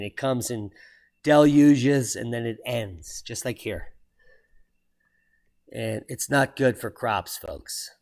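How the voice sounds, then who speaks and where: A man hosting his own channel, reading weather and earthquake headlines aloud and breaking off for sardonic asides and sudden exclamations. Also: mid-range voice. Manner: exclaims loudly at surprising items. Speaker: channel host reading weather and geology news. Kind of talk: solo commentary reading headlines.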